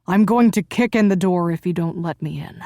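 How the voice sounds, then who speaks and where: A woman narrator voicing an angry, possessive young husband in an audiobook, speaking in a low, menacing way. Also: fairly quick, dark tone, dry recording, clean studio sound, natural voice. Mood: furious. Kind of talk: audiobook character voice.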